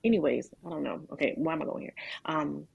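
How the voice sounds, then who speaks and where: A woman with a deep voice telling her life story in a candid, reflective conversation, with halting restarts and filler words. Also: gravelly, a little mellow, slightly rough, no room sound, dull audio. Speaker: guest sharing her testimony.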